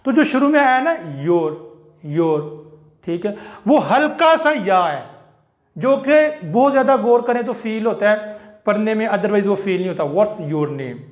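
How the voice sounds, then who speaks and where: A man leads a live English phonetics class, drilling each sound again and again. His voice sits high and he speaks at an unhurried, deliberate pace. The recording carries a noticeable room echo. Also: animated. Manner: slow, repeats sounds and example phrases many times. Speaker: English teacher.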